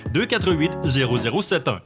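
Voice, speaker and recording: A man reading a local commercial voice-over in a smooth promotional manner. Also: on the high side, normal speed. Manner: smooth, even promotional delivery. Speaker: ad voice-over announcer. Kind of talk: radio-style commercial read. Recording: ad voice-over with clear background guitar music.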